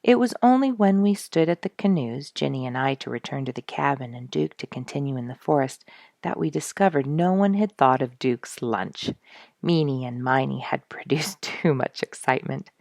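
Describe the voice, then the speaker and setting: A woman reading a story aloud as an expressive, deliberate narrator. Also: rumbling low, gravelly, dry recording, clean studio sound.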